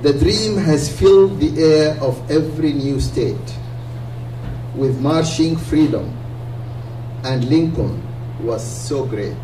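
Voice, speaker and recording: A man hosting an event, reciting a poem in a slow, declamatory way. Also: fairly high pitch, darkish tone. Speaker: event host. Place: small community hall. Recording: roomy hall, faint hum, from far off.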